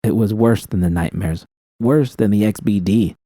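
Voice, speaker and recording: A man's voice: on the low side, moderate pace, dark tone, no echo, clean studio sound. A man reading first-person fiction as an audiobook narrator, with dramatic storytelling delivery.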